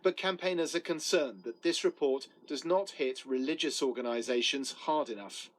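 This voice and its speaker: A man's voice: mid-range voice, moderate pace. A man narrating a scripted television news report as a correspondent.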